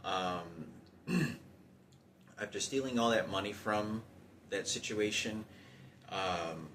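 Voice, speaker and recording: A man giving an unscripted personal monologue about his own hardships, halting and often circling back to repeat himself. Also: on the low side, a bit bright, slight background noise. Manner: halting, repetitive, circling back over points. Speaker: storyteller recounting personal hardship.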